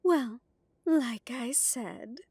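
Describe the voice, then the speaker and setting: A haughty vampire matriarch voiced by a woman in an audio drama. She speaks very slowly in a fairly high voice, silky and condescending, every phrase measured. The sound is close and dry. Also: mellow, husky, dry recording, exaggerated voice. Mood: silky, polite. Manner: slow, measured, haughty delivery. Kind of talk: audio roleplay drama acting.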